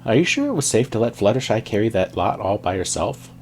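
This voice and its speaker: A man's voice: on the low side, big pitch swings, quick pace, a little hiss or hum. A man voicing a responsible young female pony in a story, earnest, questioning and given to scolding. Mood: doubtful.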